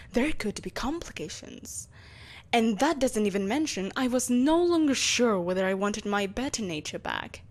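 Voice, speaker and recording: A woman playing an evil, possessive, centuries-old empress in a scripted audio roleplay, speaking with theatrical, haughty formality. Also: a little high.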